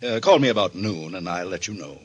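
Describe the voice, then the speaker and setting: Very deep, husky, cautious doctor. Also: male voice, animated. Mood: measured, advising. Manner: deliberate, professional, unhurried. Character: cautious, measured male doctor. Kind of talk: old-time radio drama acting.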